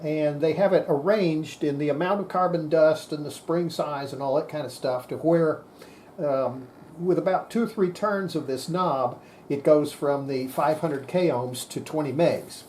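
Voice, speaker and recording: A man's voice: a little high, a little slow, darkish tone, slight background noise. A man giving an informal hands-on technical explanation, plain-spoken and folksy, thinking aloud as he demonstrates equipment. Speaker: presenter demonstrating radio equipment.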